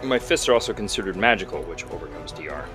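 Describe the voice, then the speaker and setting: A man's voice: low voice, lively intonation, quick pace, over music. A man playing a tabletop game, calling out his dice rolls and attacks. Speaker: player. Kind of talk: casual tabletop play.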